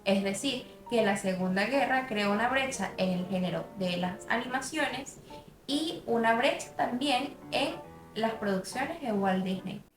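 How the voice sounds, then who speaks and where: High-voiced woman narrating in an echoey room. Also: lively intonation, a little slow, faint music behind. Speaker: narrator of a student video essay. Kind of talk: scripted narration.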